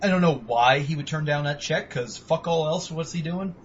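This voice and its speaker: A man's voice: on the high side, normal speed, a little hiss or hum. A young man co-hosting a podcast, rambling in casual, profane chat about video games. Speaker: podcast co-host. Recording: dull lo-fi home recording.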